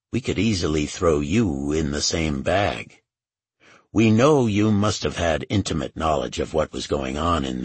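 A man's voice: deep voice, slightly rough, no room sound, heavily acted. Man acting a firm, commanding male agent. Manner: authoritative, matter-of-fact.

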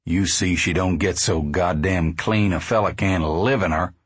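A stern middle-aged farmer from a novel, played by a man, speaking low. He is gruff and folksy, always grumbling and suspicious. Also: a little slow, dry recording.

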